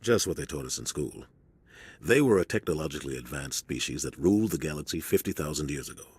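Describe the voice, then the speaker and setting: A serious male starship captain briefs his crew in a very deep, husky voice. The game-cutscene delivery is steady and earnest, recorded close and dry. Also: fast, dry recording, full character voice. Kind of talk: video game cutscene acting.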